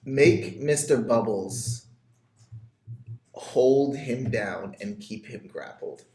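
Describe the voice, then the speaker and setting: A man taking his turn as a player in a tabletop role-playing game, animated and laughing often. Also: mid-range voice, extremely slow. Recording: echoey room.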